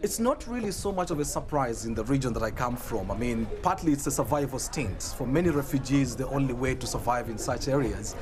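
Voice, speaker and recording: A man's voice: mid-range voice, animated, brisk, few pauses, background noise. A man, speaking earnestly in a live interview in a busy newsroom. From Uganda and Kenya. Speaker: journalist, interviewee. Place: busy open-plan newsroom.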